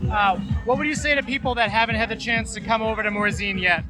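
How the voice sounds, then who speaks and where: A man interviewing athletes outdoors at a race event, asking quick, upbeat questions. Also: high voice, music in the background. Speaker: podcast host interviewer.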